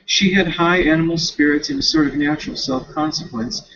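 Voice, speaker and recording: A male student reading a novel aloud with casual asides, expressive and now and then stumbling over words. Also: on the high side, a little fast, dull audio.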